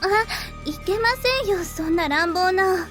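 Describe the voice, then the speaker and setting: A woman voicing a polite, big-sisterly young maid who looks like a teenager, gently chiding in a Japanese visual novel. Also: very high-pitched, brisk. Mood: gently scolding. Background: music.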